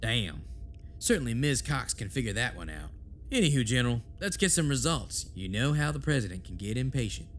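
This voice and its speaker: An actor playing a skeptical, impatient senator with a blunt manner in an audio drama. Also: male voice, mid-range voice, big pitch swings, a little fast, slight background noise, close to an everyday voice. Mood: pressing.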